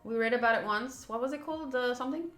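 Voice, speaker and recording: A woman's voice: on the high side, fast talker, fairly bright tone. A woman game streamer reading a visual novel aloud and adding casual commentary as she plays. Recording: roomy echo, faint game music. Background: faint game music.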